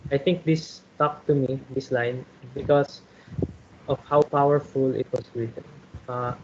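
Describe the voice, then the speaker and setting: A man's voice: mid-range voice, a little mellow. Reflective Filipino man in a discussion. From Philippines. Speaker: student discussant. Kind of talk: student focus group discussion. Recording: muffled recording with faint noise.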